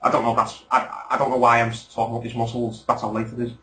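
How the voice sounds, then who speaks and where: Fast-talking man hosting a podcast. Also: mid-range voice, monotone, sharp tone, roomy sound. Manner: opinionated, rambling, asks for agreement. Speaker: podcast host. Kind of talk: casual chat.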